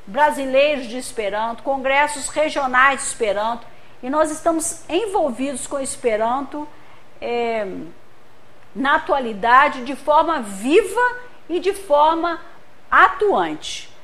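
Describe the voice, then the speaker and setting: Woman from Brazil, high voice, lecturing, patient explanations, checks in with listeners. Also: expressive, slow pace, fairly bright tone, slight background noise. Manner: explains patiently, uses homely examples, checks with "tá?". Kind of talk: spiritist center lecture.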